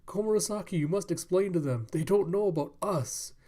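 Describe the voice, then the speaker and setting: A man's voice: high-pitched, fairly quick, mellow, voice pushed up. A man voicing a lovesick old man who pleads desperately. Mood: desperate pleading.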